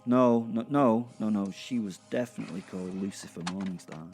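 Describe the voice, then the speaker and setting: A man playing a mischievous, gleeful werewolf in an audio drama, teasing in a drawn-out, mock-hesitant way. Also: fairly low pitch, darkish tone, breathy edge, soft background music. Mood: insistent.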